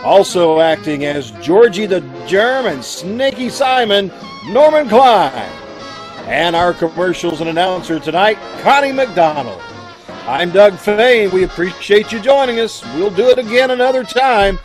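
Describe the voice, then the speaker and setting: A man's voice: sits high, lively intonation, measured pace, soft background music, rough recording. A man giving a live radio-show sign-off, presenting the cast and thanking listeners. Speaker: cast member announcing the closing credits.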